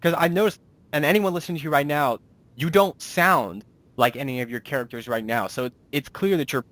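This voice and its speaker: A man's voice: on the high side, brisk, fairly bright tone, no echo. A man hosting a casual, chatty interview in an enthusiastic, reactive manner. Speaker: interviewer, host.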